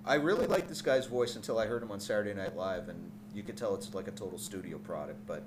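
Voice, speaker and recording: A man's voice: on the low side, quick pace. A man co-hosting a podcast in casual banter, joking crudely and often repeating the start of his phrases. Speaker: podcast co-host. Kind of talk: casual banter and pop music commentary. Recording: noisy room recording.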